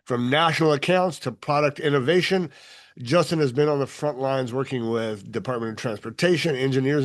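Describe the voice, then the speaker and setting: Upbeat man hosting a leadership podcast. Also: on the high side. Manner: upbeat, polished promotional delivery. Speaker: podcast host. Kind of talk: scripted show intro and interview.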